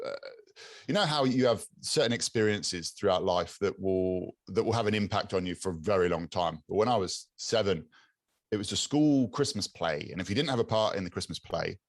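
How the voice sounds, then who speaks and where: A British man with a low, raspy but clear voice, chatting as a podcast guest over a video call and often repeating words as he searches for them. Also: no room sound, clean studio sound. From England. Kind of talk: casual interview chat.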